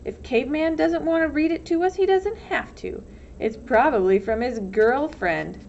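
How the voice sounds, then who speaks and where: Storybook part: protective teen ringleader voiced by a woman, blunt, pitched high, slightly dull recording. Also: lively intonation, moderate pace, a little mellow. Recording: dull lo-fi sound, faint noise.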